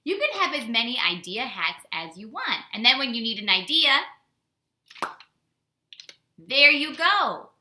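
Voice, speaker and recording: An American woman, a teacher, giving a casual storytime lesson to young children in an animated way with simple wording and rhetorical questions. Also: a little high, unhurried, pauses often, a little hiss or hum. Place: indoors at home. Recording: roomy, echoey indoor home recording.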